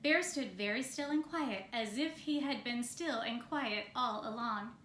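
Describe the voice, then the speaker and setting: A woman reads a children's story aloud at an unhurried, slow pace. Her voice is fairly high and bright, recorded in a roomy, echoing space. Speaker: storybook reader. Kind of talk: scripted children's story narration. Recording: roomy echo, faint background noise.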